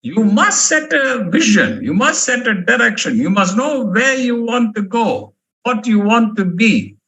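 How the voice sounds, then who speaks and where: A man's voice: sits high, sing-song, a little slow, sharp tone. A male professor from India giving a motivational management lecture, emphatic and fond of repeating key words. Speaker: professor giving a guest talk.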